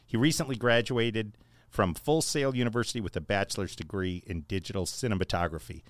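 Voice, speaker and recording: Male podcast host, lowish voice, measured list-like reading, dry close mic. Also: no room sound. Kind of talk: reading an introduction, then interview chat.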